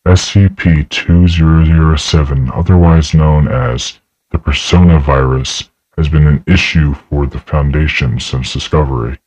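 A man playing a grave research director, narrating a horror case file in a formal, measured way. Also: rumbling low, steady tone, a little mellow, no room sound. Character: grave male research director logging a dangerous entity.

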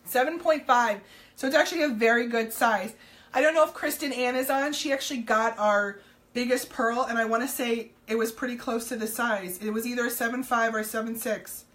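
A woman, slightly hoarse, chatting casually with viewers while selling pearls on a home live stream. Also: on the high side, fairly bright tone. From New Jersey, US. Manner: chatty, upbeat, many ums, greets viewers. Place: home room, indoors.

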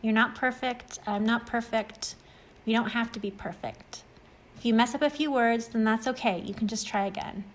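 A woman's voice: on the high side, fairly even intonation, normal speed, lightly acted. A woman playing a reassuring, encouraging, talkative friend in an acted short-story reading. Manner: encouraging, explanatory. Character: reassuring, talkative friend who overcame extreme anxiety.

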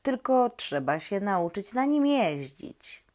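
Woman voicing a raised-pitch, patient young boy. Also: sing-song, slow pace, darkish tone. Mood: patient, insistent. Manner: explanatory, insistent. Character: patient young boy explaining things to monster friends.

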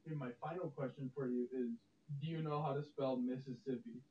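A man hosting an online interview, asking relaxed, plain questions. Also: medium pitch, a little fast. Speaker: interview host. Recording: echoey, dull, with faint hiss.

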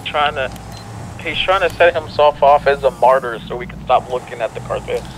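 A man's voice: medium pitch, normal speed, rough recording. A man talking casually as a player in an online roleplay game. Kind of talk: casual in-game radio chat. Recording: faint street and car noise.

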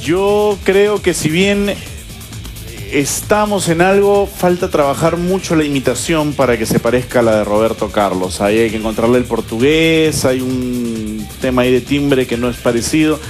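A man's voice: medium pitch, normal speed, faint music behind. A man judging contestants on a live televised talent show, in a TV studio.

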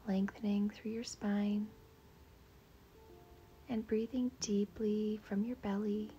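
A woman leading a guided meditation in a soft, breathy, half-whispered voice, gentle, soothing and unhurried. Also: medium pitch, flat delivery, highs cut off, a little hiss or hum.

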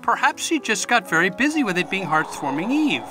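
A jovial middle-aged pony father, acted by a woman in a cartoon dub. The delivery is pompous and swoops up and down, with music clearly underneath. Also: medium pitch, big pitch swings, fairly quick, close to an everyday voice. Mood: concerned, warm. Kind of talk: cartoon comic dub acting.

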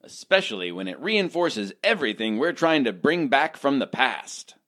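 A man's voice: medium pitch, very animated, moderate pace, fairly bright tone. A man playing a cocky, reactionary small-town husband in a comic radio play. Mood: smug.

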